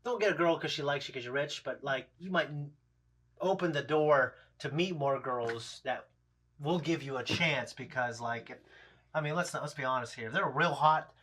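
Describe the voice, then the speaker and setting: A man's voice: on the high side, moderate pace, fairly bright tone. A man in his thirties chatting casually as a reaction show host, in a rambling, blunt, profanity-laced way. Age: 30s. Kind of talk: casual chat, episode discussion.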